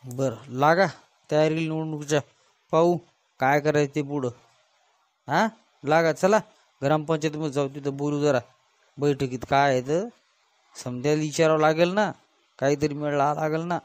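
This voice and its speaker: A man's voice: fairly high pitch, steady tone, normal speed, pauses often, no echo, natural voice. A man voicing a worried, hesitant cartoon village headman with a folksy Varhadi Marathi accent. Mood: hesitant, practical. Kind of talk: comic cartoon dialogue.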